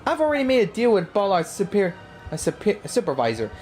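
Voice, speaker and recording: A woman's voice: mid-range voice, huge pitch swings, fast, darkish tone, soft background music. A woman voicing a smug, self-righteous game villain who gloats and speaks pompously.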